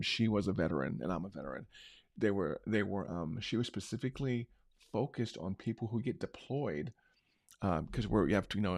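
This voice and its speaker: Booming-voiced man, low and dry. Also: creaky, dry recording. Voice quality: big booming voice. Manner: unscripted, conversational, many restarts. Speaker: marketing show host. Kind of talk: casual talk-show recap.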